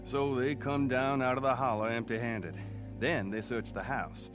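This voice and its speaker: A man's voice: mid-range voice, steady tone, moderate pace, close to an everyday voice. Man playing a country guy telling his childhood story, southern accent, music behind him, old radio show style. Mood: reflective, storytelling. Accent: rural Southern American. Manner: folksy storytelling. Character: folksy country son recounting his rural boyhood. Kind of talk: dramatized first-person radio narration. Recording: music under the voice.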